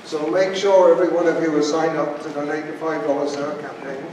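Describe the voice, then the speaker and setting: An older man with a Norwegian accent making measured announcements at a podium with a handheld mic. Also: fairly high pitch, flat delivery. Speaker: campaign organizer. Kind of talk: announcements to an audience. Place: large school gym, indoors. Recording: echoey gym from far off, loud crowd noise.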